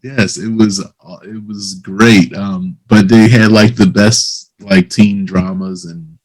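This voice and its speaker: Hesitant, slow, deep-voiced man. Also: steady tone, poor audio. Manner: hesitant, halting delivery. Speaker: interviewer. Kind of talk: casual interview.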